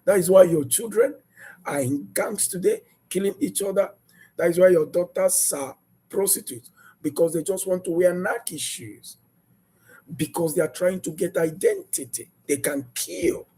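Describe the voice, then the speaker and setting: A man preaching a sermon emphatically, repeating rhetorical questions and jumping into sudden high bursts of emphasis. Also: normal speed, warm tone, husky.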